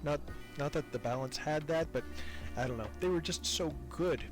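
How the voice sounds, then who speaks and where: A man's voice: fairly high pitch, normal speed, over music. A man being interviewed as a podcast creator, talking casually and reflectively in a self-deprecating, hesitant way, repeating words as he thinks.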